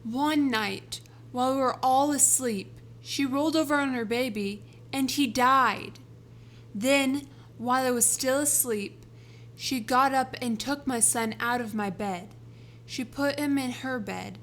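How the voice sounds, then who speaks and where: A man voicing a desperate young mother who pleads before a king, in a soft, slow falsetto. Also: a little hiss or hum, heavily acted. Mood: pleading.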